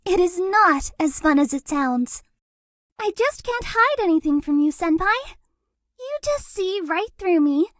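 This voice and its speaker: A sweet, lovestruck young ghost girl in a dating game, pitched extremely high. Her delivery is bashful and cutesy, swinging widely in melody while taking its time. The recording is close and dry. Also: very animated, unhurried, fairly bright tone, no echo, exaggerated voice. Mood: playful, coy. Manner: cutesy, bashful, gushing, with hesitant breathy asides. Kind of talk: dating game character voice lines.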